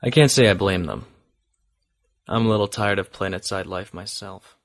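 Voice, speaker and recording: Space captain doing his log, measured low voice, formal, really dry sound. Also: no echo. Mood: sober. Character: dutiful male starship captain recording his log. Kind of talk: audio drama monologue, log narration.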